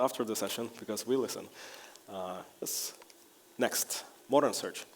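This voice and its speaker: Non-native male presenter, Lithuanian first language, lower register, relaxed tech demo delivery full of fillers. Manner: frequent "uh" fillers, restarts, relaxed and wry. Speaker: conference presenter. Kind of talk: technical conference talk with live demo. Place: conference hall.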